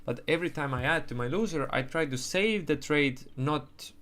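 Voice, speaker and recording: A man's voice: fairly high pitch, normal speed, a bit bright. A young man whose first language is Estonian, talking casually on a livestream, rambling, swearing and restarting his sentences. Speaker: livestream trader.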